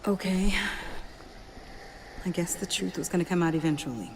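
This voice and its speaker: An actress playing a shaken, defensive young woman in a video game, speaking in a halting, emotional way. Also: lowish voice, darkish tone, a little hiss or hum, natural voice. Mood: defensive guilt.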